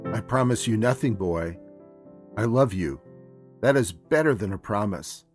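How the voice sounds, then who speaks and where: A man voicing a loving, solemn old grandfather in a storybook read-aloud, grave and measured. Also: fairly low pitch, lively intonation, soft background music.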